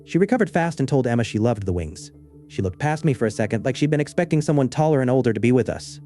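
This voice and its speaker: A man narrating a scripted first-person horror story in a measured, understated way. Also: mid-range voice, animated, dark tone, faint music behind.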